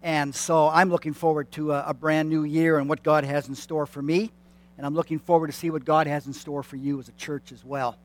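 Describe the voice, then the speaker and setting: A man's voice: a little high, level tone, brisk. A man in his late fifties, a church consultant, addressing a congregation in a friendly, self-deprecating way with many fillers and restarts. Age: 50s. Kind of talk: announcements to a congregation. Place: church sanctuary.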